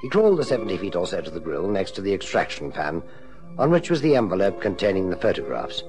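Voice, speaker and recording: Radio drama storyteller, deep voice, measured scripted narration, dramatic, music clearly behind. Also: male voice, big pitch swings. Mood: dramatic, suspenseful. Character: male storyteller of a detective serial.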